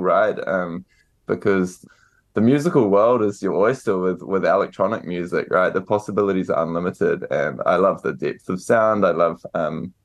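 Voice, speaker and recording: A young New Zealand man answering interview questions in a relaxed, hesitant way, with many fillers and restarts. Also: low voice. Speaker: author and guest.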